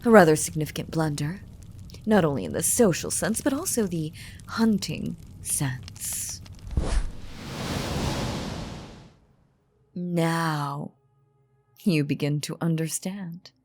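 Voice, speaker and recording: A woman as a slow, low-voiced, taunting vampire noblewoman. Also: expressive, darkish tone, slight background noise. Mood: amused, menacing. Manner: slow, teasing, condescending, genteel formal diction. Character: elegant, taunting vampire noblewoman, calm and menacing. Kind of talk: scripted roleplay audio drama monologue.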